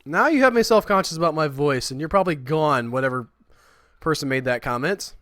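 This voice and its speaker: A man chatting casually while he streams a building game, rambling and self-deprecating, with a high, squeaky voice. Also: animated, fairly quick, fairly bright tone, no echo, studio quality. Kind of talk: casual livestream chat.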